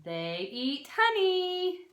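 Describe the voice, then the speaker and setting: A woman's voice: extremely high pitch, wildly swooping pitch, very slow, a bit bright, a little hiss or hum. A woman reading a non-fiction children's book aloud like a teacher, expressively, stressing key words and adding short explanatory asides. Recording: roomy, echoey sound.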